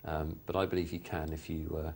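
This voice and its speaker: Low-voiced poker commentator. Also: male voice, flat delivery, fairly quick, darkish tone, slightly rough. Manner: chatty, self-mocking, hesitant with fillers. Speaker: poker show host and commentator. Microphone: studio mic.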